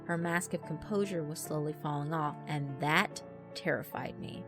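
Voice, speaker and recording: A woman giving a grave, deliberate, dramatic reading of a horror story as narrator. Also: deep voice, fairly bright tone. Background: background music.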